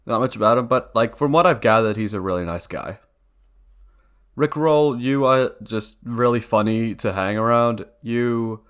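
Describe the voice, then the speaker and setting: A man's voice: mid-range voice, a bit bright. Earnest young man, casual rambling vlog talk. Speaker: video creator.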